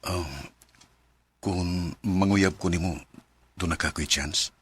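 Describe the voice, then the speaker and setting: An actor playing an earnest, shy young male suitor, gentle and hesitant, in a Filipino radio drama. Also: low voice, fairly even intonation, takes it slowly, slightly rough, heavily acted.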